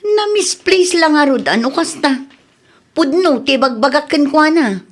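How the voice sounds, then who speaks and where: Woman acting a wronged young villager, high, animated pitch, Ilocano Filipino accent, assertive, radio drama. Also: a little slow. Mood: aggrieved.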